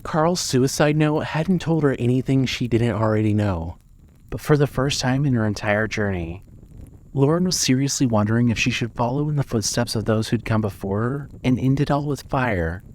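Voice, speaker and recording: A man narrating a scripted dramatic story in a measured, solemn manner. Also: mid-range voice, darkish tone.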